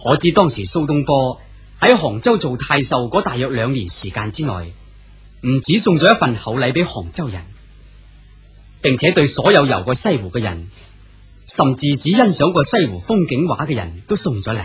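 Husky Cantonese male narrator. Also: medium pitch, very animated, moderate pace, faint music behind. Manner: storytelling delivery. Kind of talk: scripted radio drama narration.

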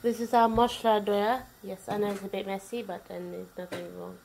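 A woman's voice: mid-range voice, steady tone, moderate pace, darkish tone. A woman giving casual, hesitant, step-by-step cooking commentary in a home kitchen. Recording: noisy kitchen with sizzling and clattering. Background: frying, sizzling, stirring.

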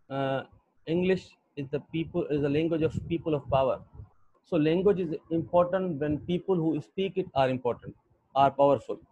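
A young Pakistani man with a Pakistani accent, lecturing an online English class in a halting way with many fillers. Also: fairly high pitch, warm tone, no echo. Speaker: English teacher. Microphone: computer mic on a video call. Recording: dull lo-fi video call audio.

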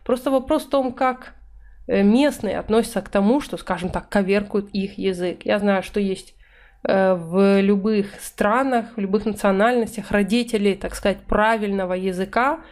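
A woman in her thirties teaching English vocabulary in an online lesson, explaining in Russian and reading English phrases slowly and clearly. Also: medium pitch, a little mellow. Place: indoor room, webcam in corner of slides.

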